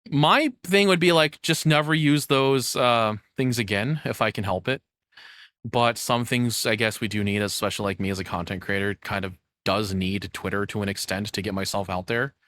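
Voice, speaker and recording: A man's voice: lowish voice, moderate pace, a bit bright, no room sound. A young adult man doing casual reaction commentary as a content creator, rambling, sarcastic and freely swearing.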